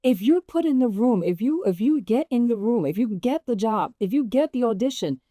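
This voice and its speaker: A woman with a relatively deep voice, a guest actress telling stories in an animated, laughing way. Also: a little fast, no room sound, studio quality. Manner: animated, laughs often, voice jumps up when excited. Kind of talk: casual interview storytelling.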